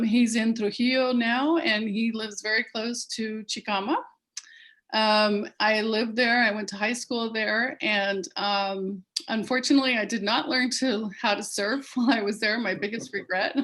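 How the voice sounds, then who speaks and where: A woman's voice: a little high, a bit bright. A woman who grew up in Peru, hosting and introducing a live-streamed panel over a video call, chatty and upbeat with frequent ums. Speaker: festival host.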